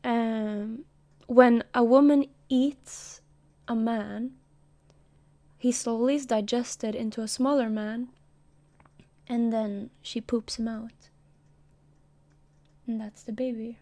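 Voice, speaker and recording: A woman's voice: on the high side, fairly even intonation, takes it slowly, long pauses, mellow. A woman streamer chatting casually between comic readings, jokey and giggly.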